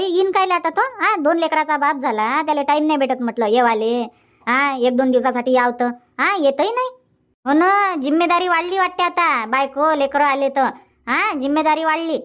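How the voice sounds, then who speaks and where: Someone, a woman, doing a high-pitched nagging village aunt. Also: fairly bright tone. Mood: chatty. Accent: Varhadi Marathi from Vidarbha. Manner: scolding, motherly, repeats herself. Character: chatty older village aunt, teasing and nagging. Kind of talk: Marathi cartoon comedy dub.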